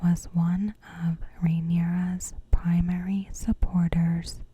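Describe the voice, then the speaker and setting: A woman narrating a recap in ASMR style, in a hushed, breathy whisper with measured delivery. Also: fairly low pitch, level tone, a little hiss or hum. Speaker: ASMR video host.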